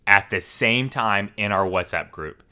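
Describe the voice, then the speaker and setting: A man hosting a story channel, reading forum posts aloud in a steady, even storytelling manner. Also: fairly low pitch, unhurried, smooth.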